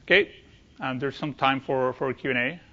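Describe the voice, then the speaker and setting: A man's voice: mid-range voice, moderate pace, pushed and bright, lo-fi sound. A man presenting technical material at a conference, speaking hesitantly and often repeating words as he goes.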